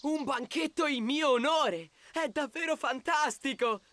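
A woman's voice: sits high, unhurried, background noise. A woman voicing a boastful, cheerful young male tiger cub in a children's cartoon, exuberant and bragging. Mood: proud delight. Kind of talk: animated children's cartoon acting.

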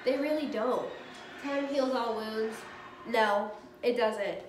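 A woman in her thirties talks openly to a camera set across her dining room, her voice stuffed up from a cold. She speaks a little loud and straightforward. The room has a roomy echo with a faint background hum. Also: fairly high pitch, slow pace. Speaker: vlogger. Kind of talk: personal vlog talk.

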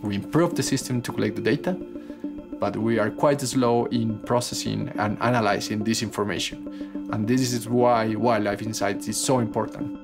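A man's voice: on the low side, fairly even intonation, moderate pace, creaky, music in the background. A man explaining in an earnest documentary interview, with the odd hesitant restart.

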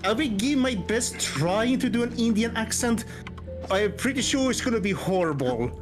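A man's voice: sits high, expressive, moderate pace. A man chatting casually on a game stream, hesitant and full of restarts. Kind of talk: casual chat. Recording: game music behind.

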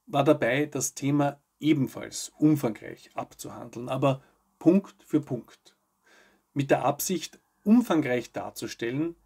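A man hosts a news show. His mid-pitched voice is husky, with plenty of creak. He delivers scripted commentary in a measured, articulate way. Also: a little mellow. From Austria. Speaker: host of a weekly news video show.

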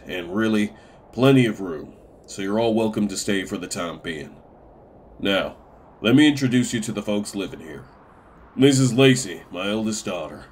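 Man acting a low-voiced older farm patriarch. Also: darkish tone, slight background noise, lightly acted. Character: stern, plain-spoken older farm patriarch. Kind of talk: comic dub acting.

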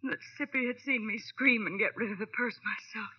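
An American woman playing a guilty, desperate young nightclub performer in emotional 1940s radio melodrama, her voice husky and breathy. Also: fairly high pitch, normal speed. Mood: confessing, tense. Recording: tinny, narrow old radio recording.

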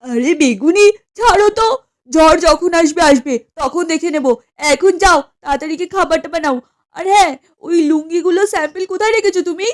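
A woman's voice: very high-pitched, brisk. A woman voicing an eager, fussy husband and small businessman in a comedy cartoon, speaking emphatically. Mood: hurried, bossy. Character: eager, easygoing husband and lungi-selling businessman. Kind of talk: exaggerated comedy cartoon acting.

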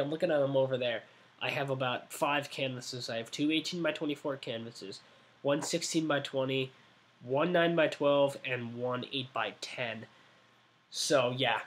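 A nineteen-year-old man talking casually to his webcam in a small room, rambling and correcting himself with many fillers. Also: mid-range voice. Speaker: art vlogger. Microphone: webcam at desk.

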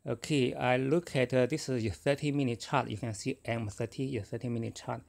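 A middle-aged man whose first language is Chinese, talking casually and haltingly about his trading, with many fillers and restarts. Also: medium pitch, steady tone, gravelly, a little mellow. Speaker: forex trader. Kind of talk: casual screen-recorded trading talk.

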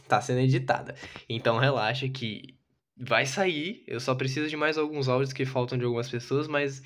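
A man's voice: mid-range voice, a bit bright. A young man with a Brazilian Portuguese accent, rambling casually and playfully in a self-deprecating way, as in an informal vlog. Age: young adult. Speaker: YouTuber. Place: small bedroom, indoors. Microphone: handheld camera or phone. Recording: noisy handheld camera audio with clicks.

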